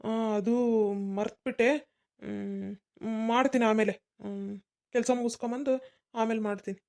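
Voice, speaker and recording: A woman's voice: on the high side, fairly even intonation, gravelly, darkish tone. A woman voicing an evasive young man who brushes off his mother, in a cartoon. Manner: hurried, brushing off questions.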